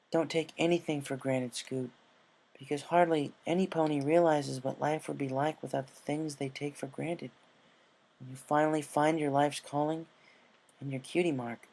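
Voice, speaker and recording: A man voicing a brave young female pegasus, speaking softly and earnestly like a reassuring big sister in a story reading. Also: a little high, creaky, pitched above the performer's own voice.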